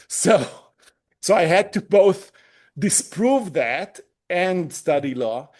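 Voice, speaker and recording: A man in his fifties from Israel, a native Hebrew speaker, talking as a guest in an interview, pausing often with fillers and restarts. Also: sits high, expressive, a little mellow. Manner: hesitant, many fillers and restarts. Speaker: human rights lawyer, guest. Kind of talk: conversational interview.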